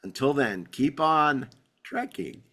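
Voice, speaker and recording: A male podcast host with a bright, forward voice at a middling pitch. He talks casually and wanders off on teasing tangents, moving between banter and show announcements. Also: expressive, slow pace. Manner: joking, digressive, teasing.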